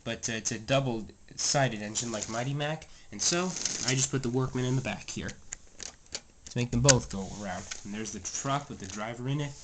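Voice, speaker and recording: A man's voice: mid-range voice, moderate pace. Guy with a stuffy scratchy voice rambling thru his train room, lots of uhs, faint clicky noise behind. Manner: rambling, many fillers and self-corrections. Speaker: toy train vlogger. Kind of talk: casual show-and-tell narration. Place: home train room, indoors. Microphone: handheld camera mic, filming close.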